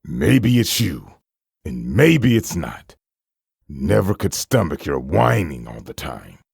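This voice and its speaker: A man playing a hardened, scornful mercenary killer in a comic dub, with a raspy, gravelly voice and a sneering, defiant delivery. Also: medium pitch, no echo, clean studio sound, heavily acted. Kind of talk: comic dub dramatic acting.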